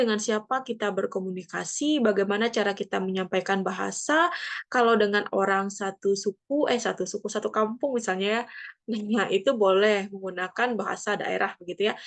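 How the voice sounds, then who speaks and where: A woman's voice: medium pitch, vocal fry, fairly bright tone. A woman from Indonesia giving a classroom lesson, explaining step by step with frequent fillers and tag questions. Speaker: teacher. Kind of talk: classroom lecture. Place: near the coast.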